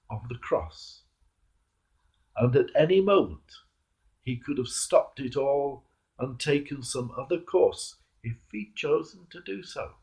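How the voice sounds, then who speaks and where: A man, a clergyman, reading a devotional sermon in a measured, emphatic manner with rhetorical turns. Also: mid-range voice, a little mellow, low-quality recording. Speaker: Anglican clergyman reading a reflection. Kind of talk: devotional sermon, read aloud.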